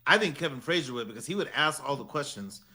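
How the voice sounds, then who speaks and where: Bright-voiced man, relaxed podcast co-host. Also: fairly high pitch, quick pace, husky. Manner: relaxed, short replies. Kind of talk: casual chat.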